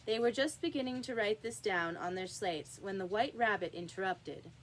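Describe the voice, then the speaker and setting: A woman's voice: medium pitch, moderate pace, slight background noise, rough recording. A woman reading a children's classic aloud as a storyteller, with emphatic, acted-out delivery. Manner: emphatic, acts out character dialogue. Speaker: storybook reader.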